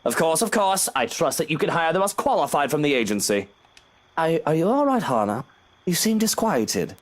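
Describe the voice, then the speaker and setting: A man voicing an anxious, proud, irritable gentleman from a horror visual novel, with formal, emphatic speech. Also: on the high side, sing-song, moderate pace, voice pushed up. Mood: subdued, concerned.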